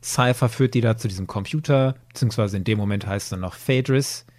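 A man's voice: on the low side, fairly quick, darkish tone. A man chatting informally on a podcast, retelling a story and checking in with his partner. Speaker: podcast co-presenter.

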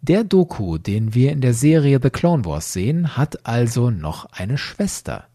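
A man's voice: mid-range voice, sing-song, a little slow, mellow, no room sound, clean studio sound. A man hosting a podcast, explaining in a didactic way with emphatic phrasing. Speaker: podcast host.

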